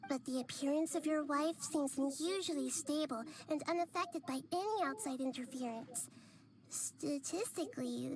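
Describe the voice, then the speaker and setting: A woman voicing a small, wise girl deity in a game, speaking in a gentle, thoughtful, measured way over background music. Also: high-pitched, slight background noise. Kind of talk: game voice acting.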